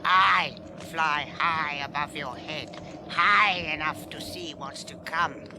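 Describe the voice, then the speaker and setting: An actor playing a knowing, scornful raven prophet in a slow, solemn radio drama style. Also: mid-range voice. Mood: scornful certainty. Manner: slow, solemn, deliberate delivery. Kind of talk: 1980s radio drama acting. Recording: noisy archive radio broadcast.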